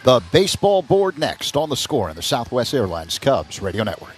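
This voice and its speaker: A man's voice: medium pitch, very animated, normal speed, a little hiss or hum. A man reading scripted announcements as a sports radio announcer.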